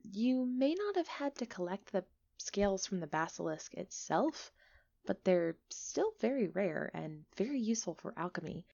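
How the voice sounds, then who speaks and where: A woman playing a friendly, chatty, excitable village alchemist in an ASMR roleplay, expressive and a little flustered, on a slightly dull recording. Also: medium pitch, moderate pace, vocal fry. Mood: pleased. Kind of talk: ASMR roleplay acting, one-sided conversation.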